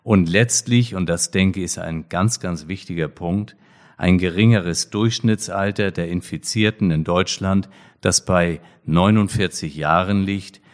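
Deep-voiced man hosting a podcast. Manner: measured, formal delivery. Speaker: podcast host, hospital director. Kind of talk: scripted podcast intro, then interview.

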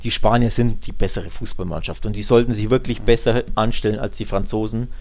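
A man's voice: on the low side, steady tone, brisk, a little mellow. A man chatting casually as co-host of a football podcast, often tacking short questions onto his sentences. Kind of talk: casual sports podcast chat.

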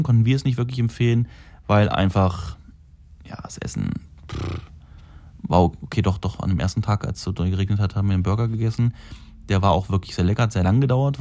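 Low, mellow-voiced man rambling casually. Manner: rambling, self-correcting, frequent fillers. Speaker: podcast host. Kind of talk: casual solo podcast talk. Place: holiday rental in Florida.

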